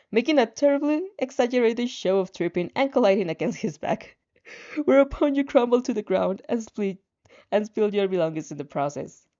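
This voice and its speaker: A woman reading a story aloud as an amateur narrator, expressive and sometimes stumbling over words. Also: mid-range voice, highs cut off. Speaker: fan fiction reader.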